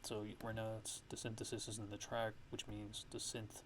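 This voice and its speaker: Male ASMR tutorial host, low and monotone, casual and halting, unscripted feel, noisy recording. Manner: halting, loose, unscripted explaining. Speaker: music production tutorial host.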